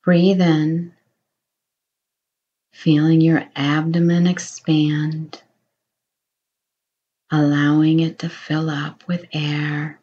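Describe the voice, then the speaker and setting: A woman guiding a meditation in a slow, soothing voice, offering gentle reassurance. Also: low voice, flat delivery, highs cut off. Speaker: meditation guide. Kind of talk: guided meditation.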